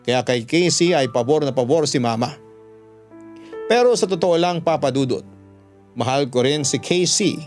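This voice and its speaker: Casting: a man as a remorseful young Filipino storyteller, higher male register, radio drama confession over music. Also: moderate pace, long pauses, darkish tone, lightly acted. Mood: reflective. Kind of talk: first-person dramatic narration for radio. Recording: music bed behind the voice.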